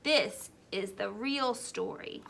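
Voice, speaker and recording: Woman reading as an aggrieved storybook wolf, bright and emphatic. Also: mid-range voice, slow pace, vocal fry, slight background noise, full character voice. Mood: indignant, confiding. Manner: chatty, emphatic, protesting innocence. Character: male wolf storyteller, aggrieved, folksy, self-excusing. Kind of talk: children's storybook read-aloud.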